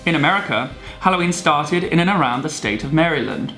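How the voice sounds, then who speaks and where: Jokey male presenter with lively delivery. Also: fairly high pitch, a little fast, faint music behind. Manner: jokey, theatrical. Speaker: video co-presenter. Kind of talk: scripted explainer narration with comic banter.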